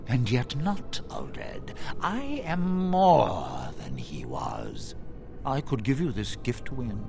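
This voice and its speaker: A man's voice: medium pitch, exaggerated voice. A man playing a smug, grandiose possessed mage in a video game, with a theatrical, condescending drawl. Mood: grandiose, gloating. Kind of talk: video game dialogue acting.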